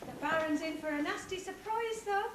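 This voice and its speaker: A woman's voice: very high-pitched, moderate pace. A woman playing a cheerful, chattering fairy in a broad stage pantomime. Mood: knowing. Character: cheerful chattering fairy who speaks dog and cat. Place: theatre stage during a live amateur show. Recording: noisy echoey stage, distant microphone.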